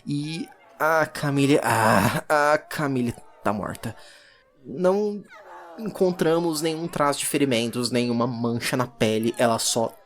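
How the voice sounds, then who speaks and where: A performer with a Brazilian accent voicing a distraught, defiant, hunted woman occultist in a halting, emotionally charged audio drama monologue. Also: medium pitch, natural voice. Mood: panicked, disbelieving. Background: music.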